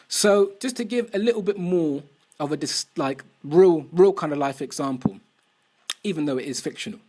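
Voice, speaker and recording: A young man speaking to camera in casual commentary, reasoning through a debate with rhetorical questions and reading quoted passages aloud. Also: a little high. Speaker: vlogger answering a critic.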